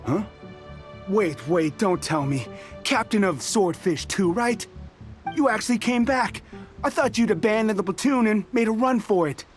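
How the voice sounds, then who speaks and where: Bitter fiery rebel soldier in a video game, pretty high voice, dramatic, bit of music behind. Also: male voice, lively intonation, normal speed. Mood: brash, challenging. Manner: defiant, impassioned, proud. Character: embittered, fiery resistance soldier who craves battle.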